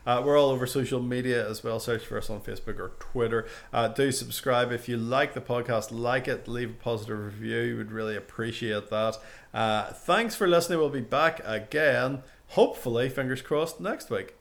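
A man explains ideas in a casual podcast chat and keeps up a steady stream of agreeing "uh-huh"s. His voice is mid-pitched with a gravelly rasp. It is recorded in a podcast studio. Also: moderate pace, few pauses. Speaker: podcast co-host.

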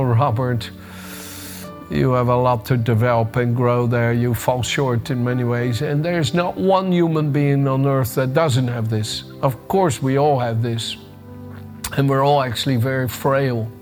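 An older preacher with a Dutch accent and a trace of American speaks at a middle pitch. He talks in a relaxed, conversational way, often stopping to restart a phrase while he searches for a word. Faint music plays underneath him. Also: vocal fry. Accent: Dutch with a bit of American. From Netherlands. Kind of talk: devotional sermon.

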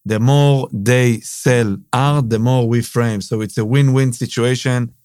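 A man's voice: medium pitch, fairly even intonation, measured pace, a little mellow, clean tone, dry recording, studio quality. Israeli-accented man hosting a podcast. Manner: non-native phrasing, frequent "uh" and "basically". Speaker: podcast host and business owner. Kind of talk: casual monologue.

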